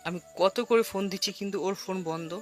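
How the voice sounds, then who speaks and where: Casting: male actor as worried young friend, high-pitched, fast and urgent, radio-play style. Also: soft background music, close to an everyday voice. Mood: anxious. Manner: anxious, urgent. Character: worried young man searching for his missing friend.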